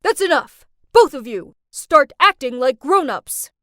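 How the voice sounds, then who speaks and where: A woman's voice: a little high, expressive, unhurried, a little mellow, dry recording, studio quality. A woman voicing a stern, exasperated middle-aged father who speaks in a firm, commanding way. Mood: angry, commanding.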